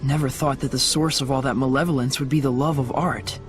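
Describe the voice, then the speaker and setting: A man's voice: medium pitch, fairly even intonation, brisk, close to an everyday voice. A man playing a clever, dryly teasing young companion in a video game, with a wry manner. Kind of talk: English video game dub acting. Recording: music clearly behind voice.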